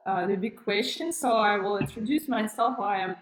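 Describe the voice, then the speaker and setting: A woman's voice: medium pitch, normal speed, roomy sound, a little hiss or hum, low-quality recording. Hesitant woman presenting a webinar. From Kazakhstan. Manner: hesitant, many fillers and restarts, non-native phrasing. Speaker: webinar presenter, school founder. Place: room with plain wall, indoors. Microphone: webcam on a computer, video call framing.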